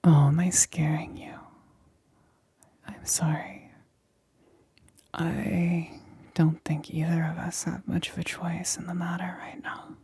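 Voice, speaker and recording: A woman's voice: fairly low pitch, level tone, warm tone, no echo, heavily acted. Woman acting a whispering, seductive infected lab assistant. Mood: seductive, menacing. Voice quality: breathy, whispery. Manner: slow, intimate, coaxing. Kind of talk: ASMR roleplay.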